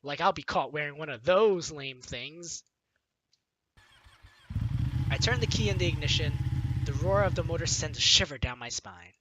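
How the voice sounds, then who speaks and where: A man's voice: fairly high pitch, normal speed, pauses often, a little hiss or hum, poor audio. A man hosting a let's play, reading game text aloud theatrically and commenting on it. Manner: animated, theatrical. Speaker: gaming video host. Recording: music behind the voice. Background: game music.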